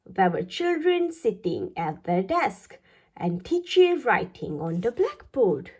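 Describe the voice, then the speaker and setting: A woman reading a children's picture book aloud, with expressive, deliberate phrasing aimed at young listeners. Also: on the high side, breathy edge, highs cut off. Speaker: children's story reader. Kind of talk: read-aloud children's storytelling.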